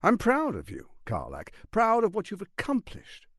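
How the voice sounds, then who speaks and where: A man playing a smooth-tongued, condescending tyrant lord in a video game, with a pompous, theatrical delivery and deliberate emphasis. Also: high-pitched, wildly swooping pitch, no room sound, studio quality, heavily acted. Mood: persuasive, ingratiating.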